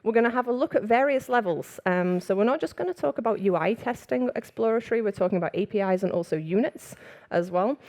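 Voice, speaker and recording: Liverpool woman on stage at a conference, chatty, quick when excited, stage mic. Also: medium pitch. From England. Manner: fast when excited, laughs often, chatty. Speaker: conference speaker. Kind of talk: conference talk. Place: conference room with audience.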